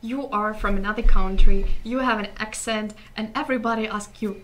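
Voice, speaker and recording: A woman's voice: medium pitch, steady tone, fairly quick, a loud crowd. A woman from Ukraine with a Ukrainian accent, talking casually as an interview guest and searching for words in imperfect English. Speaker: interview guest, community TV show creator.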